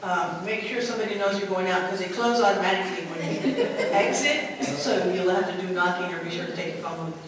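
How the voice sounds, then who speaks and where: A man organizing a conference, giving informal announcements and introducing a speaker, halting with many fillers. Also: high-pitched, fairly even intonation, a crowd in the background. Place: large convention center meeting room. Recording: echoey hall from far off.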